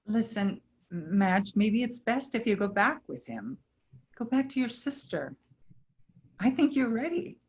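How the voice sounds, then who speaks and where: A woman playing a calm, reassuring troupe member in a staged play reading. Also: a little high, measured pace, highs cut off. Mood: gently persuading. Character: calm, placating member of a travelling theater troupe.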